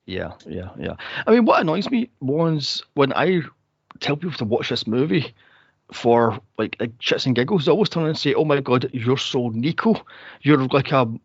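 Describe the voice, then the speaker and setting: Animated man on a dull dry mic. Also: moderate pace, breathy edge, no echo. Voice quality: says he has a deep, straight-sounding voice. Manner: animated, swings into exaggerated mock voices when retelling. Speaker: podcast co-host reviewing films. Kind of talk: casual podcast chat.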